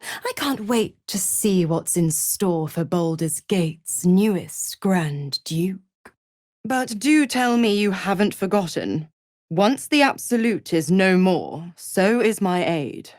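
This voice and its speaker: A woman's voice: on the low side, lively intonation, slow, a little mellow, no room sound, clean studio sound. A silky, drawling, taunting she-devil. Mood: sly, gloating. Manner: taunting, drawling, condescending, purring endearments like "pet". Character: silky, cruel, scheming she-devil patron, mockingly seductive. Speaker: video game villain. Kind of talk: theatrical video game character acting.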